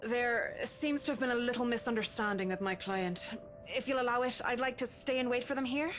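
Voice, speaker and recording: An actress playing an elegant, formal professional woman in a visual novel, with a noticeable non-American accent and measured politeness. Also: on the high side, fairly bright tone, soft background music, lightly acted. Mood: composed, polite. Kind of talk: visual novel game voice acting.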